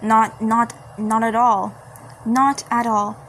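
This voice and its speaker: A woman's voice: on the high side, little pitch movement, slow pace, a bit bright, a little hiss or hum, pitched below the performer's own voice, low-quality recording. A woman voicing a smug male mushroom creature who reassures with soothing menace in an amateur horror cartoon fandub. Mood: sinister reassurance. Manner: soothing menace, repeats phrases. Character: smug sweet-toothed male mushroom creature, sinister tea party host.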